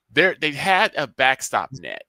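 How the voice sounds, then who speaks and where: A man chats casually as a sports commentary partner. His voice is bright and mid-pitched, with lively movement, recorded close and dry. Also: no room sound. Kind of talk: casual anecdotes and interjections.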